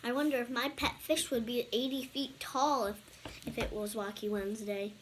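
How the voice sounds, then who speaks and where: Young female child reader, high voice, chatty picture-book read-aloud with asides, noisy home recording. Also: fairly even intonation, normal speed. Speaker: storybook reader and channel presenter.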